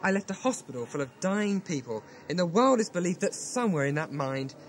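A man's voice: high voice, animated, fairly quick. A man playing a desperate, angry pleader in an audio drama, earnest and accusing.

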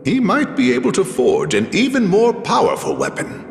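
A man's voice: sits high, huge pitch swings, moderate pace, gravelly, echoey room, big-room sound, a little hiss or hum, heavily acted. A man voicing a fussy, anxious little gnome advisor in a fantasy video game. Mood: pondering.

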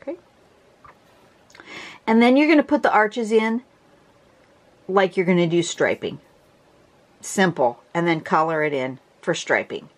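A woman with a congested voice teaching a drawing pattern in a casual, chatty way, often restarting and clearing her throat. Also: lowish voice, expressive, measured pace, long pauses. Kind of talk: casual tutorial narration. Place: home desk, camera over the drawing surface.

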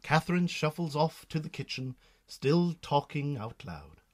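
A man's voice: on the high side, lively intonation, a little slow, darkish tone. A man with a Northern Irish accent narrating a scripted radio drama as a storyteller.

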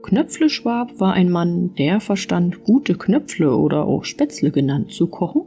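A woman narrating a fairy tale audiobook in an expressive storytelling style. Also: lowish voice, a little slow, creaky, soft background music.

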